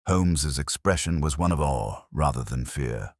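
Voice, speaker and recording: A man narrating a detective story in a dramatic, measured and suspenseful way. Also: very deep voice, lively intonation, no room sound, clean studio sound. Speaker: audiobook narrator.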